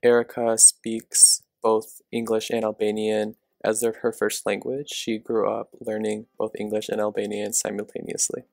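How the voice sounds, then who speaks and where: A young man, a student, reading the narration for a class project in an even, read-aloud way. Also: fairly low pitch, moderate pace, vocal fry, hardly any pauses, no room sound.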